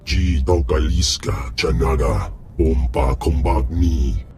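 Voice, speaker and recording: A man voicing a huge, haughty alien slug crime lord in a video game, ponderous and booming. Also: slow, gravelly, a little mellow, faint music behind, exaggerated voice. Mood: haughty, dismissive. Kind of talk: video game dialogue in an invented alien tongue.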